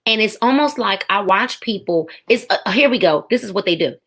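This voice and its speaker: Woman in her 40s testifying on a podcast, emphatic, kinda preachy rhythm, middle pitch. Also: expressive, fairly quick, fairly bright tone. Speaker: podcast guest.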